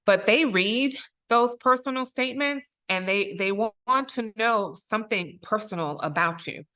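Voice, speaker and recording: Earnest Puerto Rican woman speaker, bright voice. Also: lowish voice. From Puerto Rico. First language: Spanish. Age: middle-aged. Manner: earnest, emphatic, repeats key phrases. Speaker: circuit court judge, guest speaker. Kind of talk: motivational talk to students.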